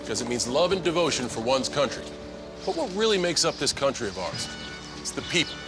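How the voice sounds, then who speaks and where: A man's voice: mid-range voice, very animated. A man delivering earnest scripted inspirational narration for a public service announcement. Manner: earnest, measured rhetoric. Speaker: advert narrator. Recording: prominent music bed.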